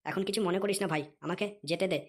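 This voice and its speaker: A woman's voice: lowish voice, racing, husky. A woman voicing a stingy young man in a comedy cartoon who frets and grumbles with lots of swearing. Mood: pleading. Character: stingy young man, fretful and short-tempered. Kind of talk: Bengali comedy cartoon dialogue.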